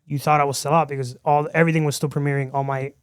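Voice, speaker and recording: A man's voice: fairly high pitch, racing, clear, resonant tone, no echo, clean studio sound. A man from Miami, a podcast guest, telling his story in a casual interview and acting out quoted dialogue as he goes. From Miami, Florida. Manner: animated storyteller who acts out quoted dialogue.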